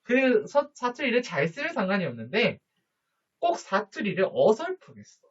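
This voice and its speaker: A man teaching a high school social studies lesson, chatty, with jokey asides and quick questions to his students. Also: huge pitch swings. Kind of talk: online lecture to students. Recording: dull lo-fi recording.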